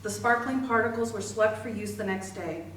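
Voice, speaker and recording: A woman's voice: mid-range voice, steady tone, normal speed, fairly bright tone, natural voice. Woman acting young factory worker, very echoey. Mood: reflective. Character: young immigrants' daughter, dial painter recalling shared work. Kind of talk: readers theater monologue. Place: library readers theater performance, distant microphone. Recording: very echoey, distant mic, faint noise.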